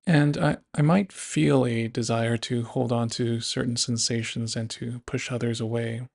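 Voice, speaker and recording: A man narrating a guided body scan meditation in a gentle, unhurried, instructive manner. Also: medium pitch, fairly even intonation, creaky.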